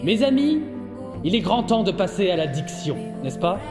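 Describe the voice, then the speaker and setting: An actor playing a solemn, theatrical master of ceremonies in a French audio drama, preaching with pompous grandeur. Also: male voice, sits high, big pitch swings, normal speed. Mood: solemn, grandiose. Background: music.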